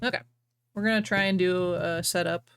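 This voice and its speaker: A woman's voice: fairly low pitch, fairly even intonation, moderate pace, gravelly, clean tone, no room sound. A woman hosting a casual livestream, talking in a playful, teasing way. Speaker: livestream host.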